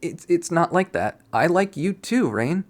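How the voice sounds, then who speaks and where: A man's voice: fairly high pitch, expressive, a little slow, voice pushed up. A man doing a timid, stammering captive man. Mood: pleading. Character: meek, frightened man pleading.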